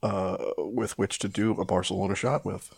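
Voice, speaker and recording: Male podcast co-host, lowish creaky voice, wry and sweary banter, dry close sound. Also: steady tone, moderate pace, dry recording. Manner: profane, wry. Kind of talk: casual comic banter.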